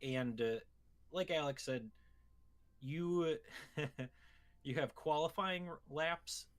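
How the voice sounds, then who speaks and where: A man's voice: a little high, very slow. A man co-hosting a gaming show, talking casually about video games.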